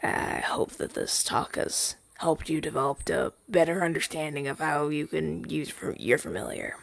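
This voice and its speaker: Guy doing a earnest lil crow familiar, hesitant n rambly, bit gravelly, voice goes up and down a lot, asmr roleplay. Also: mid-range voice, animated, normal speed, fairly bright tone, no echo. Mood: earnest, explaining. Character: shy, earnest rogue crow familiar, eager to serve.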